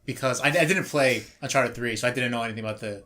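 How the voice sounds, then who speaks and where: A man's voice: mid-range voice, fast talker, pushed and bright. A man speaking casually as a panelist in a group podcast conversation.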